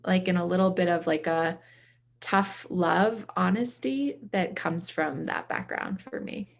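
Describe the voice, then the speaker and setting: Female interview guest from the East Coast, reflective and casual, lowish pitch, plenty of vocal fry. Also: measured pace. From from the US East Coast, around Boston.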